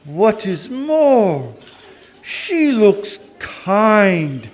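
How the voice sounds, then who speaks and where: A man's voice: extremely high pitch, a bit bright, heavily acted. A man playing a pompous, theatrical king in a live children's puppet show. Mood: smitten. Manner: theatrical, slow, emphatic delivery.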